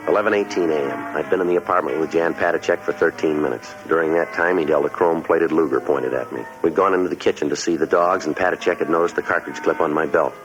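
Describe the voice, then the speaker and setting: An actor playing a dogged, level-headed police detective in a 1950s radio drama, clipped and matter-of-fact. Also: male voice, a bass voice, fast talker, few pauses, over music. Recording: muffled, noisy old 1950s radio recording.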